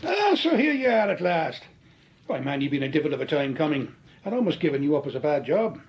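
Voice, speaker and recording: Chummy schemer, high bouncy voice, kinda dull lo-fi sound. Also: male voice, sing-song, a little fast. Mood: jovial. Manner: jovial, needling, theatrical. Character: smooth-talking scheming man, Patrick Quinn. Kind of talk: 1940s radio drama acting.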